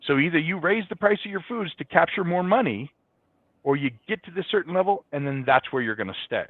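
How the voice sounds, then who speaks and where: A man's voice: fairly high pitch, lively intonation, a little fast, no echo. A man giving conversational, explanatory answers as a guest in a business interview. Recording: dull, band-limited, dry sound.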